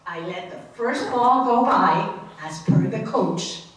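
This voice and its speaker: Woman reading a memoir out loud at a signing, slow and careful, fixes her slips, heard from far off in a very echoey room w faint noise. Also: medium pitch, lively intonation. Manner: unhurried reading, correcting her own slips. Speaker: reader at a book signing. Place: book signing event room.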